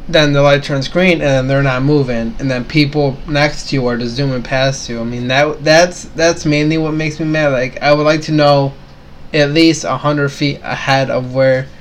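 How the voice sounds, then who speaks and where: A man's voice: medium pitch, fairly even intonation, moderate pace. A young man co-hosting a casual chat, rambling with plenty of fillers and restarts. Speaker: co-host.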